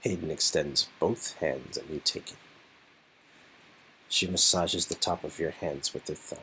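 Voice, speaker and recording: A man's voice: rumbling low, measured pace, sharp tone, slight background noise, rough recording. A man narrating a romantic game story in scripted second-person prose.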